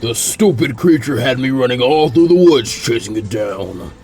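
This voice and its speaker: A man's voice: medium pitch, animated, moderate pace, a little mellow, faint music behind, pitched below the performer's own voice, natural voice. A man voicing a defeated adult male rogue ninja in a storybook reading, speaking heavily and wearily. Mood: grumbling.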